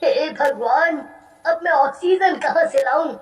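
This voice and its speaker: A woman's voice: sits high, unhurried, voice pushed up. Echoey, anxious young husband, voiced by a woman. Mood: worried. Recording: echoey, distant from the mic.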